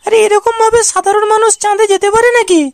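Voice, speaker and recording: A woman's voice: extremely high pitch, steady tone, quick pace, over loud music. A woman voicing a coy, sweet young married woman in a comic cartoon, with a pleading manner. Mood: curious, doubtful. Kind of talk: comic amateur cartoon dialogue.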